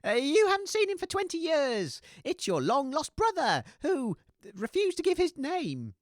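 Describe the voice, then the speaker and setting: A woman's voice: sits high, huge pitch swings, moderate pace, noisy background. A woman playing a hapless, anxious male radio co-host in a comedy audio sketch, with a hesitant delivery. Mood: awkward.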